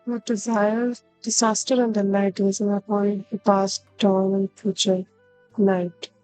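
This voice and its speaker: Female game streamer, relaxed and even, musing casually, dry close sound over music. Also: mid-range voice, unhurried, clear, resonant tone, no echo, rough recording. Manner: musing, thinking aloud. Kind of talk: casual live game reaction commentary. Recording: music under the voice.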